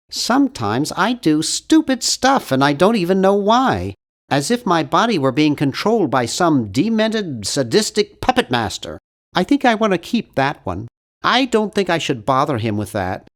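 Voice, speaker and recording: A man playing an earnest, awkward, nerdy young cartoon hero in an adventure game, with a nasal, geeky, carefully worded delivery. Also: fairly high pitch. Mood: earnest, dry. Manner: geeky, over-articulate, earnest. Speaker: video game voice actor. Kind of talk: animated adventure game character lines.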